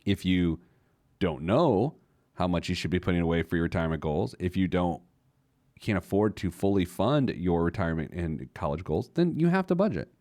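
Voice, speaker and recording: Male American talk host, low voice with animated swings, close dry sound, conversational and emphatic. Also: moderate pace, no echo. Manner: emphatic, acts out imagined objections and answers them. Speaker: host of a personal finance show. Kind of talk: conversational personal finance monologue.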